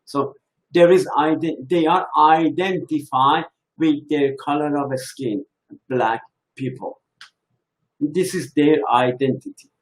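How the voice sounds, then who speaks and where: Slow, halting man. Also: fairly high pitch, fairly even intonation, low-quality recording. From Iran. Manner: slow, halting, thinking aloud. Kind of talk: reflective religious talk.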